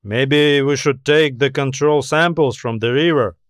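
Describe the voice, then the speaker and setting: Man playing a dutiful colonel, slow formal reporting. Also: medium pitch, no room sound, studio quality, lightly acted. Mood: grim. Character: self-assured, dutiful, grim military colonel reporting to admiral.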